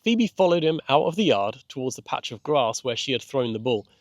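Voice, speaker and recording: A man's voice: mid-range voice, lively intonation, fairly quick, no echo. Man narrating a children's story, dry sound. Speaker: author and narrator. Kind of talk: scripted children's audiobook narration.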